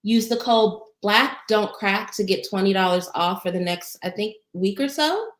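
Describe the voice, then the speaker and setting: A woman with a soothing voice, a meditation teacher answering chat questions in a casual, gentle, encouraging livestream. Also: mid-range voice, moderate pace. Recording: roomy echo.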